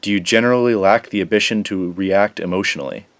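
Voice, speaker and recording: A man's voice: deep voice, quick pace, dry recording. An actor playing a clinical, matter-of-fact teenage boy reading out test questions in a detached, formal way in an audio drama.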